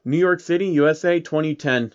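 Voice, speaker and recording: A man's voice: fairly high pitch, a little fast, smooth, highs cut off. A man hosting and narrating a comedic fan fiction reading, with sarcastic asides. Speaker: channel host and narrator.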